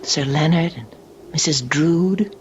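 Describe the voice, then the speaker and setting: An actress playing an anxious, questioning wife in an old-time radio mystery, breathless and exclamatory. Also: female voice, sits low, takes it slowly, a little mellow. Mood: concerned. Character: anxious, wide-eyed young wife in a mystery. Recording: muffled, noisy old radio recording.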